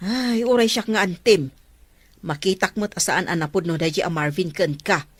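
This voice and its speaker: A woman's voice: lowish voice, moderate pace, slightly rough, dry recording. A Filipino woman playing a stern, concerned aunt in an audio drama, admonishing with pointed questions. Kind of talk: emotive audio drama acting.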